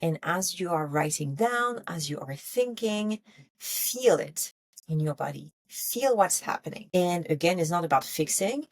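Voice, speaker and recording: A woman's voice: fairly low pitch, animated, measured pace, dry recording. A French woman speaking English with a French accent, giving an intimate, candid and encouraging solo motivational talk as a podcast host. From France. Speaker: wellness podcast host and coach.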